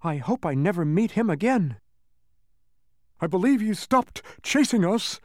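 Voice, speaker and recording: A man's voice: sits high, lively intonation, a little slow, a little mellow, dry recording, clean studio sound, pitched above the performer's own voice. A man voicing a fretful, blustering, cowardly bug in a storybook, moaning and blustery. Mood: nervous relief. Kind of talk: storybook character voice.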